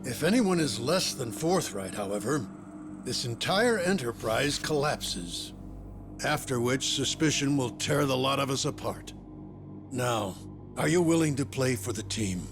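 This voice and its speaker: A man's voice: medium pitch, expressive, unhurried. A man playing a formal, guarded treasure expert in a video game dub, speaking precisely and pedantically. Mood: stern. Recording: loud music behind the voice.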